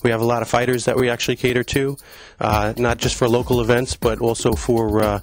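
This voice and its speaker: A man's voice: medium pitch, flat delivery, fairly quick. A man in his thirties from Long Island giving a casual, filler-heavy walkthrough of his store, speaking into a handheld mic. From Long Island, New York. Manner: conversational, many fillers and restarts. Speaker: store owner giving a tour. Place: indoor retail store. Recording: background music in a shop. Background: music playing in the store.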